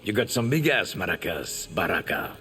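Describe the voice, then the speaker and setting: An actor playing a cheeky, brash action star in a video game, delivering mocking, breezy banter. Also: male voice, low voice, big pitch swings, moderate pace, bright, forceful tone, in a large hall, soft background music, heavily acted.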